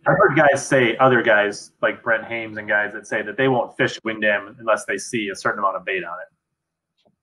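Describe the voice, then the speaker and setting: A man's voice: lowish voice, moderate pace, pushed and bright, low-quality recording. A man hosting a fishing show, asking questions and thinking aloud in a casual conversational way. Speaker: podcast host. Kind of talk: casual interview talk. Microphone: webcam on a video call.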